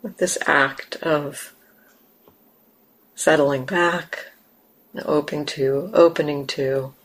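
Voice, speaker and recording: A woman meditation teacher gently guiding a meditation, unhurried, with long pauses between short phrases. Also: sits low, fairly even intonation, rough recording. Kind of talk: guided meditation. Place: meditation center.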